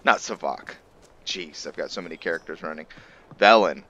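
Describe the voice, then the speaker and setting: Deep-voiced man doing halting game commentary. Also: pushed and bright, highs cut off, slight background noise. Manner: halting, thinking aloud. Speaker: gamer narrating a roleplay playthrough.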